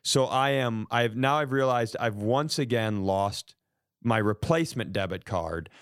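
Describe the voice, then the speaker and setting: A man in casual comedic storytelling as a podcast co-host, animated and self-deprecating. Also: mid-range voice, a little slow, smooth, dry recording, studio quality. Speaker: podcast co-host telling stories.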